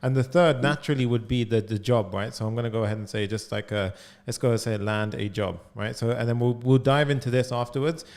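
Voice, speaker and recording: Energetic young man coaching live. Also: medium pitch. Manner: energetic, fast, full of "like" and "right?". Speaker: coding coach. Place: home studio room. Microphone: studio mic on boom arm, headphones.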